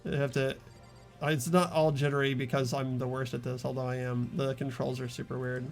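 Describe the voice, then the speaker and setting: A man's voice: mid-range voice, flat delivery, a little fast, gravelly, soft background music. A man hosting a video game playthrough, talking casually as he explains things to the group. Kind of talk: casual let's-play commentary.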